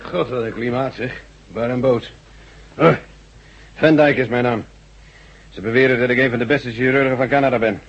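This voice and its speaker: Blunt boastful surgeon, rough medium-pitched voice, dry self-mockery, dull vintage radio drama sound. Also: male voice, fairly even intonation, moderate pace, long pauses. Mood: wry grumbling. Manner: wry, self-mocking, blunt. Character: wry, grumbling, boastful male surgeon. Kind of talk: Dutch science-fiction radio drama acting. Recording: muffled old radio drama recording.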